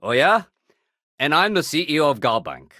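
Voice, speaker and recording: A man playing a steady, dutiful security sergeant in a video game, speaking conversationally with official firmness and dry wit. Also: a little high, moderate pace, no room sound. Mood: wry, firm. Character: steady, dutiful male spaceport security sergeant, dryly wry.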